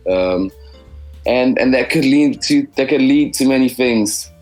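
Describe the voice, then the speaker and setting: A musician speaking English, a man giving halting, reflective interview answers full of restarts. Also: mid-range voice, a little mellow, soft background music. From Denmark. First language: Danish. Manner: halting, many restarts and repeats. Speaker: musician guest.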